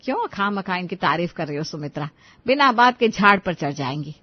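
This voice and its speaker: A woman voicing a sarcastic older mother-in-law who scolds and grumbles in an animated family story. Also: lowish voice, fairly quick, dull audio. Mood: grumbling, scolding. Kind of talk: animated moral-story dialogue.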